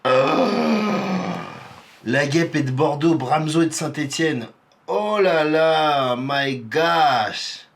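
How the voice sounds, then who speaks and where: A man's voice: a little high, extremely slow. A man from Paris giving live reaction commentary on a rap battle, exclamatory and teasing. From Paris, France.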